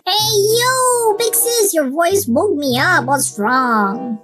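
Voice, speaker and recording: A woman voicing a laid-back, reluctant schoolboy brother in an animated roleplay story, slangy and whiny when protesting. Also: very high voice, takes it slowly, faint music behind, heavily acted. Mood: puzzled.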